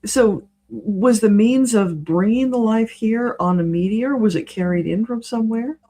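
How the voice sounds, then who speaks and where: A woman's voice: a little high, measured pace, mellow. A woman giving a conversational spiritual reading as a guest, explaining things in a matter-of-fact way. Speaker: spiritual reader, interview guest.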